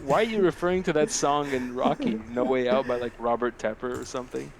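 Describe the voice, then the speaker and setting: A man's voice: mid-range voice, fairly quick, creaky, a crowd in the background. Casual, sweary male podcast co-host. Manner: laughing, animated storytelling, heavy slang and swearing. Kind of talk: casual banter.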